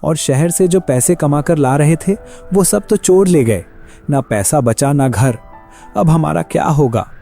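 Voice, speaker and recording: An actor playing a poor adult son in a cartoon story, anxious and earnest in manner. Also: male voice, fairly high pitch, a little slow, gravelly, warm tone, faint music behind. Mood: worried.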